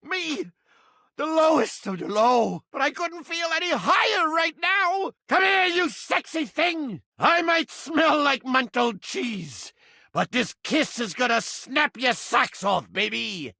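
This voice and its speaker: A crusty little mouse trap from a video game, brash and streetwise. The voice is piercing and strident, leaping up and down in pitch, recorded very dry. Under the surly bluster sits a soft heart. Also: male voice, expressive, slow, vocal fry, no room sound, exaggerated voice. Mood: overjoyed. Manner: brash, yelling, streetwise slangy wisecracking. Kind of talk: video game character acting.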